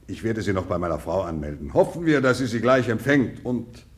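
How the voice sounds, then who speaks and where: An actor in a 1950s German radio play, playing a condescending, evasive older general with a husky voice and jovial formality. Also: male voice, fairly low pitch, fairly quick. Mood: hurried, brisk. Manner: hesitant, patronising, jovial formality.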